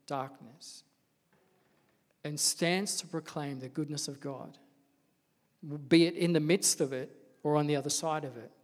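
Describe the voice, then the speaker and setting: Male pastor, soft-spoken and earnest, slightly raised pitch; sermon in a reverberant hall. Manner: earnest, gentle preaching.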